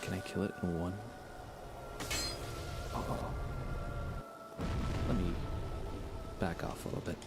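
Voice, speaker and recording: A man softly giving casual ASMR commentary as he livestreams a game, often slipping into a near-whisper. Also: deep voice, flat delivery, unhurried, vocal fry, long pauses, over loud music. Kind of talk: soft ASMR gaming commentary, casual chat.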